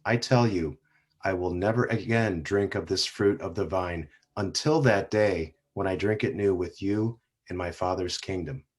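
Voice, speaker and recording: A man reading the part of Jesus in a church passion play, solemn and measured. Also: low voice, slightly rough. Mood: grave. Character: Jesus, grave calm male teacher. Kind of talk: dramatic scripture reading. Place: church sanctuary.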